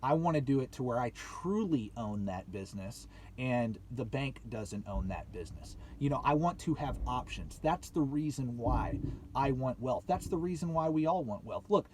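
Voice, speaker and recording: A man's voice: mid-range voice, expressive, moderate pace, a little mellow, slight background noise, poor audio. A man in his late twenties giving a motivational vlog talk to viewers, speaking emphatically, repeating points and asking rhetorical questions. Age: late 20s. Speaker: YouTube channel host.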